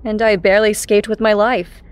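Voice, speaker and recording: A woman's voice: medium pitch, level tone, brisk, smooth, natural voice. A woman playing a battle-hardened, defiant former assassin turned ally in an audio drama. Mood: urgent, bitter.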